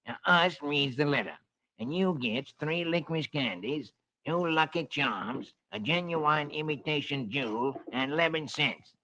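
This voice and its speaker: A man's voice: fairly high pitch, measured pace, pushed and bright. A man voicing a dim, scheming male buzzard who drawls and stumbles over "uh". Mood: drawling. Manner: hesitant drawl full of "uh". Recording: dull old radio recording with faint hiss.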